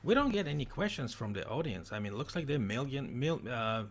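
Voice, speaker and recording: A man from Ukraine hosting a casual livestream interview, talking haltingly with many restarts over a computer microphone. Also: mid-range voice, very animated. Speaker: livestream host.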